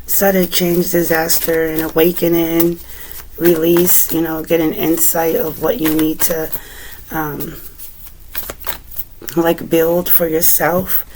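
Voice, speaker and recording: A woman with a hoarse, recovering voice talks through a tarot spread at a leisurely pace. Her voice sits a little low and she fills pauses with casual fillers. Card shuffling and steady background noise come through the recording. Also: poor audio. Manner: relaxed, unhurried, chatty with many fillers. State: raspy, recovering voice. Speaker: tarot reader. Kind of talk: casual tarot reading to camera.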